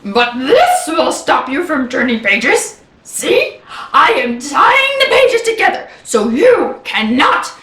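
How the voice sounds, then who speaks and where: A lovable, fearful little furry monster from a picture book, acted out by a woman, pleading and exclaiming with melodrama. The voice is high, swings widely, and sounds from a distance in an echoey room. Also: huge pitch swings, slow, a bit bright, exaggerated voice. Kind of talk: animated children's picture-book character reading.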